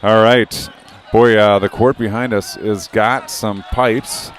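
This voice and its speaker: A middle-aged man hosting a courtside broadcast sign-off, joking and rambling as he talks loudly. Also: lowish voice, steady tone, takes it slowly. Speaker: broadcast host. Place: loud gym with a crowd. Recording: noisy crowded gym. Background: crowd cheering, balls bouncing.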